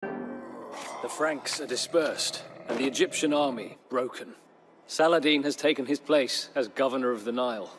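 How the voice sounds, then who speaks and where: Male actor as captive crusader knight, mid-pitched, solemn, literary, dramatic pauses, game storyline narration, music underneath. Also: lightly acted. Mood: troubled, admiring. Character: weary captive Norman crusader knight chronicling his captivity. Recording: studio narration over clear background music.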